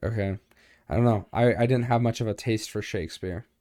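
A young man co-hosting a podcast in casual chat, joking and informal, with a boomy voice close to the microphone. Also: a little fast, mellow, no echo. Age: young adult. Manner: joking, informal, self-interrupting. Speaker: podcast co-host.

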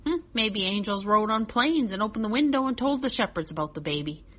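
A woman voicing a chatty, earnest six-year-old boy telling a children's story, childlike and expressive. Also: medium pitch, brisk, muffled recording. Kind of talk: first-person children's story reading.

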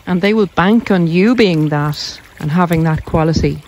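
An Irish woman with a slightly low voice speaks casually as she walks outdoors. She explains things in a reflective, informal way, pausing between thoughts as she addresses her viewers. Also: darkish tone. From Ireland. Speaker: video host, narcissism coach. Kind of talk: casual chat to camera while walking. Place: outdoors on a country walk.

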